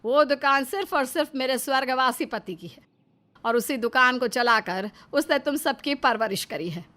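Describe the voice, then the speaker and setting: A woman's voice: high voice, moderate pace, sharp tone. A woman voicing a stern, wounded older widowed mother in an acted storybook, firm and admonishing.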